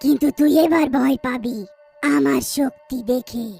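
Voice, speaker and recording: Loud, imperious forest witch, played by a woman. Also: sits high, fairly even intonation, a little slow, creaky, faint music behind, voice pushed down, exaggerated voice. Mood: angry, threatening. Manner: imperious, loud, menacing declarations. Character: fearsome, proud, lonely forest witch with magic powers. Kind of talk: horror cartoon character voice.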